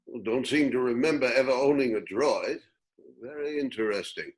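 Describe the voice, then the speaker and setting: A man playing a wise, gentle old hermit in a script reading, speaking in a measured, reflective, reassuring way. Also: medium pitch, lightly acted. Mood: musing. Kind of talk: script table read acting.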